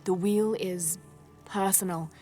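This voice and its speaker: A woman playing a determined, troubled young female adventurer in a video game cutscene, speaking earnestly and with measured thought. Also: lowish voice, steady tone, warm tone. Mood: solemn. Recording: game cutscene with music behind.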